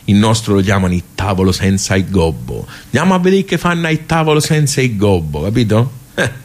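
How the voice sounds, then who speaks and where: Low-voiced, rambling Tuscan club regular. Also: male voice, big pitch swings, fairly quick. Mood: chatty, confiding. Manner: rambling, colloquial, self-interrupting. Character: wry, plain-spoken regular of a Tuscan workers' club, nostalgic storyteller. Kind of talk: radio theatre monologue, conversational.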